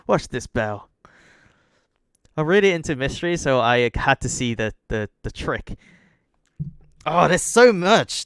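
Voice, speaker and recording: A man's voice: a little high, fairly bright tone, clean tone, dry recording, clean studio sound. A young adult man streaming a game, chatting with viewers, thanking them by name and joking about himself. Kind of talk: live-stream gaming chat and reading aloud.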